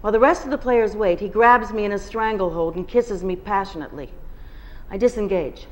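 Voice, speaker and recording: A woman's voice: mid-range voice, brisk, low-quality recording. A woman reading a novel aloud at a bookstore, telling the first-person story with expression. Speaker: guest reader. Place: bookstore reading before an audience.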